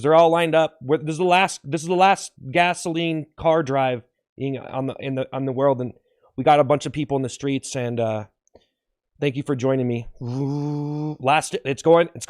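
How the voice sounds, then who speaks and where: A man's voice: on the high side, no echo, clean studio sound, natural voice. A man acting out a wistful farewell ride on the last gasoline in a comedy skit, sentimental and repetitive.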